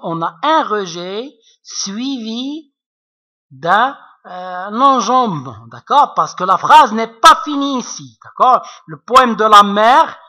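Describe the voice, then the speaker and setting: A man's voice: high voice, pushed and bright. A man with an Arabic accent, a native Arabic speaker, explaining French poetry to students in a patient, repetitive way. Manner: patient, repetitive, checks with frequent asides. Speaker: French literature teacher. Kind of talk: classroom explanation.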